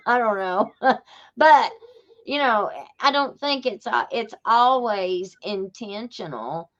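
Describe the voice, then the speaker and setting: A woman's voice: mid-range voice, highs cut off. A woman with a Southern Appalachian accent, chatting casually as a podcast host in a folksy, conversational manner. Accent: Southern Appalachian American. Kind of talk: casual chat.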